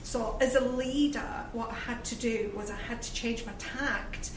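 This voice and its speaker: A woman's voice: on the high side, fairly even intonation, measured pace, noisy background. Lancashire woman panellist, chatty and hesitant, distant roomy sound with audience ambience. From Lancashire, England. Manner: chatty, many fillers and hesitations. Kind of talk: live panel discussion. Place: event venue with live audience.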